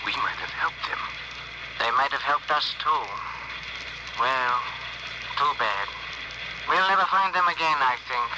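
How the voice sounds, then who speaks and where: A thoughtful, regretful male alien voiced by an actor, measured and musing. It sounds like 1950s radio drama, with clear noise over the whole recording. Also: medium pitch, natural voice. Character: thoughtful, regretful alien spacefarer overheard in transmission. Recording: noisy crackly old radio recording.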